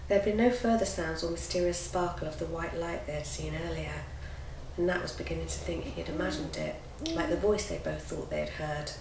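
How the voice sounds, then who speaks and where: A woman author narrating a chapter from her own children's book in an expressive, lively reading style. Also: fairly low pitch, brisk. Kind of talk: storybook narration. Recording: roomy with background noise.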